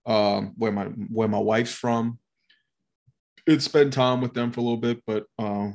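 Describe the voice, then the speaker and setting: A man's voice: medium pitch, fairly even intonation, unhurried, darkish tone. A man co-hosting a podcast with a nasal voice, rambling casually with profanity and laughs. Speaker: podcast co-host.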